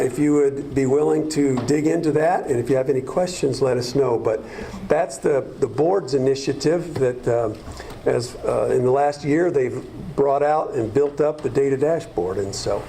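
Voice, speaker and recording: A man's voice: medium pitch, vocal fry, mellow, slight background noise, poor audio. A man presenting district data to a school board, explaining at length with frequent fillers and restarts.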